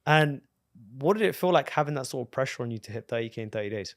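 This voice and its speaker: A man's voice: mid-range voice, animated, fast talker, dry recording. A male podcast host talks in an enthusiastic, profane way, full of bro slang. His voice sits mid-range and sounds dry and close. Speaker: podcast host and business coach. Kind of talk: casual interview conversation.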